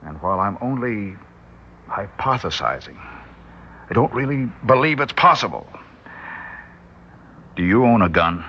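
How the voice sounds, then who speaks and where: A man's voice: on the low side, sing-song, a little slow, long pauses, dull audio, slight background noise. An actor playing an earnest, worried male scientist in an old radio drama. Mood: grave. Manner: earnest, speculative, urgent. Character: scientist doctor, grave, warning, male. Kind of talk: 1960s radio drama acting.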